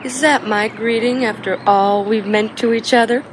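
A woman's voice: fairly high pitch, steady tone, moderate pace, noisy background, lightly acted. An actress playing a cool, teasing, scheming woman in a television soap drama.

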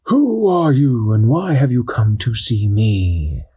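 A man voicing a wise old great horned owl, speaking slowly and solemnly. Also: medium pitch, wildly swooping pitch, warm tone, slightly rough, highs cut off, exaggerated voice.

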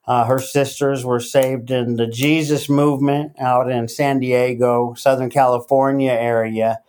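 A middle-aged man raised in Latin America, speaking as a podcast guest in a casual, halting way with many fillers and restarts. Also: medium pitch, level tone, a little mellow, smooth. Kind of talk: casual interview.

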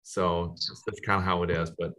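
A man hosting a casual podcast interview, speaking haltingly with frequent restarts and fillers. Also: deep voice, little pitch movement, a bit bright. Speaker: podcast host.

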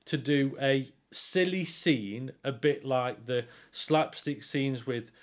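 A man's voice: mid-range voice, slow. Opinionated man in casual discussion. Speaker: theatre review podcast co-host.

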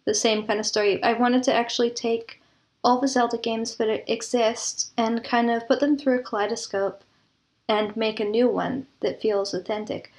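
A woman's voice: a little high, steady tone, moderate pace. A woman speaking casually as an interview guest, a composer talking about creative work. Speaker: interview guest, composer and audio drama producer.

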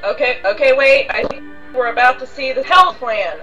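A woman's voice: high-pitched, normal speed, bright, forceful tone, highs cut off, soft background music. A woman from Ohio in the US, chatting eagerly in a casual fan commentary. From Ohio, USA.

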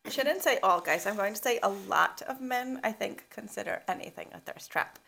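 A young woman co-hosting a podcast, chatting casually with a relaxed, rambling way of telling stories. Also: medium pitch, normal speed, vocal fry. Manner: relaxed, filler-heavy storytelling. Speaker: podcast co-host. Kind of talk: casual chat.

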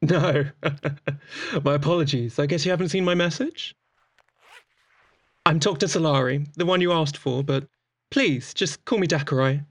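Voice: medium pitch, measured pace, pauses often, no echo, close to an everyday voice. An actor of androgynous voice playing a sociable, playful doctor in a chatty audio drama, exuberant, informal and teasing. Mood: friendly, joking. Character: breezy, sociable, playful doctor at a space clinic.

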